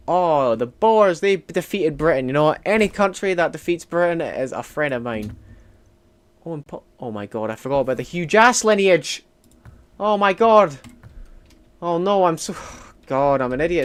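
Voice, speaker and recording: A man giving casual gameplay commentary, talking to himself and swinging between loud exclamations and quiet mutters. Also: on the high side, clear, resonant tone. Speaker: gaming streamer. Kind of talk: casual let's-play commentary.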